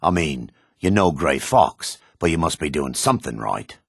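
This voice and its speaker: A very low, husky male dark elf from a fantasy game. He is a haughty rogue, curt and suspicious, and his delivery swings widely in pitch. The sound is gravelly and disdainful. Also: big pitch swings, moderate pace, no echo, studio quality, full character voice. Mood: dry, dismissive. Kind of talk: video game character dialogue.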